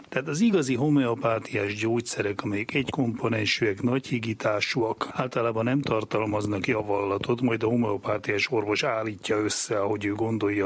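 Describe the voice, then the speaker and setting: Husky, low-voiced older man. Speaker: pharmacy professor, former agency director. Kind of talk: explanatory expert interview.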